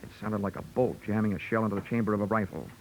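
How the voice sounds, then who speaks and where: An actor playing a wry, hard-boiled insurance investigator with an American accent and deadpan wit, in 1940s radio detective drama style. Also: male voice, lowish voice, rapid-fire, background noise, natural voice. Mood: measured narration. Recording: old 1940s radio broadcast recording.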